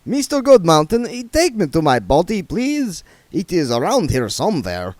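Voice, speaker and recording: A man's voice: high voice, wildly swooping pitch, moderate pace, darkish tone, no room sound, pitched above the performer's own voice. A man voicing a fussy, reformed male vampire who speaks in a prim, indignant, overly formal way. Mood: fussy, polite.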